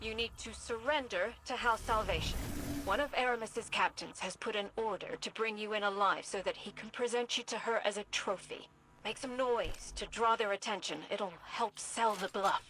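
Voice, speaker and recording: A woman playing a brisk, commanding mission handler who gives crisp directions in a sci-fi video game. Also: mid-range voice, fairly bright tone, slight background noise, lightly acted, rough recording. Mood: conspiratorial, serious.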